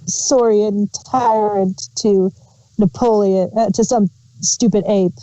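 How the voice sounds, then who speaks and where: A woman's voice: medium pitch, steady tone, slow, warm tone, no room sound. A woman chatting casually as co-host of a review show. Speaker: podcast co-host reviewing audio dramas. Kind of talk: casual chat. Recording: dull lo-fi voice recording.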